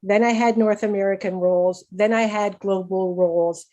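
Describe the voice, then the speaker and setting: A woman's voice: medium pitch, steady tone, moderate pace, mellow, highs cut off. A woman in her sixties with a Canadian accent, giving a personal conversational presentation as a patient speaker, candid and informal. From Canada, raised in Hamilton, Ontario.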